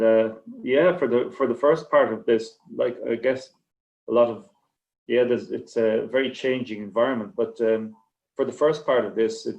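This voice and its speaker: A man, a writer on a panel, talking casually and reflectively in a halting, rambling, understated way. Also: medium pitch, darkish tone. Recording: slightly dull, lo-fi connection.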